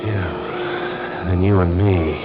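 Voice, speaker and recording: An American actor playing a cocky, menacing gangster in a 1950s radio crime drama, slangy, smug and threatening. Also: male voice, sits low, fairly even intonation, extremely slow, over music. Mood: urgent, bossy. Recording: muffled old radio recording.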